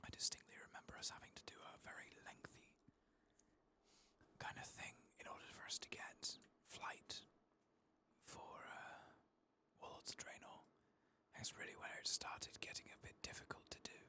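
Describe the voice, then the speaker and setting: A man giving soft-spoken, partly whispered ASMR commentary over a video game, murmuring and thinking aloud in an unhurried way. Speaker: ASMR gaming streamer.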